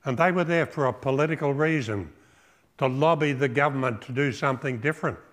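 An older man preaching a sermon in a small church hall, measured and reflective, posing rhetorical questions and listing virtues one by one. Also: medium pitch, a bit bright. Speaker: pastor. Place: small church hall with drum kit, indoors.

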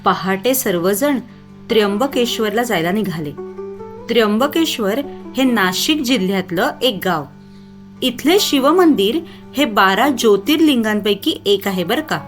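A woman narrating a children's moral story in an expressive storytelling style. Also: mid-range voice, a little slow, faint music behind.

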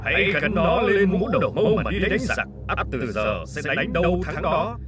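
An actor playing a solemn swamp god who proclaims grandly in an animated historical legend. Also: male voice, fairly high pitch, normal speed, over music. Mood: solemn, commanding. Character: solemn male swamp god granting a magic claw.

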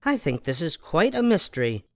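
A man voicing a small, naive, excitable young bird in a storybook. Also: fairly high pitch, moderate pace, vocal fry, dry recording, voice pushed up. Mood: wondering.

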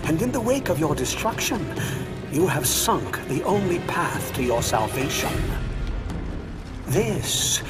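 An actor playing a menacing old bearded doomsayer in a game, taunting and theatrical. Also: male voice, on the high side, sing-song. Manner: taunting, theatrical, drawn-out delivery. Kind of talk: dramatic game cutscene acting. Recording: dramatic music behind.

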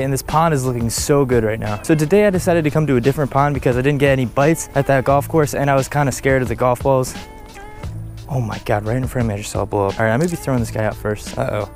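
An American man in his twenties talking casually and informally to viewers, like a fishing vlogger. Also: mid-range voice. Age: 20s. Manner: informal, chatty, addresses viewers as guys. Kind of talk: casual vlog talk to viewers.

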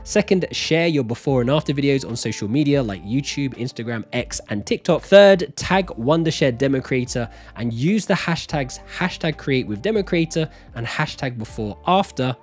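A man in his thirties hosting a scripted tutorial in an emphatic, animated presenter style, close to a desk microphone. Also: medium pitch, normal speed, hardly any pauses. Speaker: host of a software tutorial channel. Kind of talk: scripted upbeat video tutorial narration. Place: small home-style studio, indoors. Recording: background music. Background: music.

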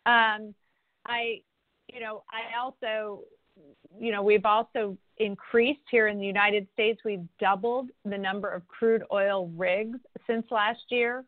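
A woman's voice: mid-range voice, fairly even intonation, slow. A congresswoman hosting a telephone town hall, answering questions in a conversational way. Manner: conversational, with frequent restarts and fillers. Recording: dry, tinny phone line.

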